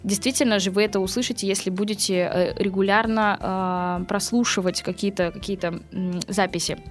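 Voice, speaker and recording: A woman who teaches English, answering interview questions in a relaxed, chatty way, laughing often and filling pauses with hesitation sounds. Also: medium pitch, soft background music. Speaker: English teacher and school co-owner.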